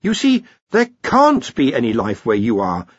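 A man's voice: mid-range voice, huge pitch swings, moderate pace, slightly rough, dry recording, dull audio. A man voicing an earnest, apologetic young male wizard in an audiobook, explaining things with hesitant deference. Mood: nervous, coaxing. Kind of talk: audiobook character voice.

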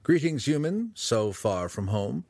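A man plays a courteous dark elf warrior in a fantasy audiobook. His voice is husky and recorded dry, with a measured, cautious calm. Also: medium pitch, no room sound, voice pushed up. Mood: polite, firm. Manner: measured, cautious, often hushed.

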